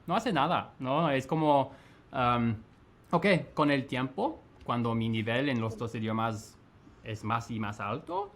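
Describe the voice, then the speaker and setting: A man chatting as a guest on a video call, speaking haltingly with many fillers. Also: medium pitch, fairly bright tone. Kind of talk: casual multilingual chat.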